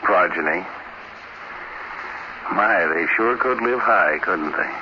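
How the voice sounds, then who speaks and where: A man's voice: low-pitched, animated, slow pace, background noise. A man narrating a 1950s radio anthology in a wry, folksy, musing and hesitant conversational way. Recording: dull old radio recording, loud music.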